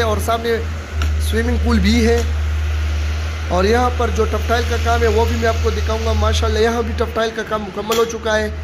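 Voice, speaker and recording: A man walking through a stadium construction site, steadily narrating updates and pointing things out to viewers. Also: very high voice. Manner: steady informal commentary, repeats "you can see". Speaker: vlogger giving site updates. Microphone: handheld phone camera. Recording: long reverb, faint site noise.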